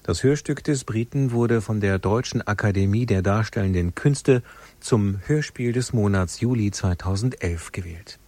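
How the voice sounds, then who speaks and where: A man's voice: on the low side, normal speed, mellow. A man reading a scripted introduction to a radio play as a broadcast announcer. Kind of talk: scripted narration, programme introduction.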